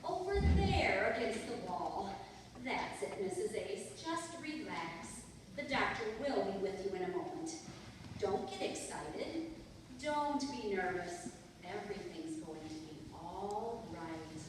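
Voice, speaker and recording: A woman's voice: mid-range voice, animated, slow pace. A woman playing a chirpy, coaxing receptionist nurse in old-time radio comedy style. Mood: soothing, patronizing. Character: chirpy, soothing female receptionist nurse, gossipy. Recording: echoey hall from far off, noisy.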